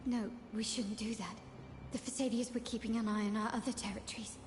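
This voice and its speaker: A woman's voice: a little high, steady tone, fairly quick, a little mellow, soft background music, close to an everyday voice. A woman playing a poised, commanding young mafia heiress in a video game, composed and decisive, with an imperious manner. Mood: calm, decisive.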